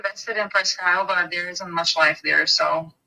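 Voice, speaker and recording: A woman's voice: fairly low pitch, steady tone, moderate pace, gravelly, bright, forceful tone. A woman with a Bulgarian accent, a real estate agent talking business on a phone call in a friendly way.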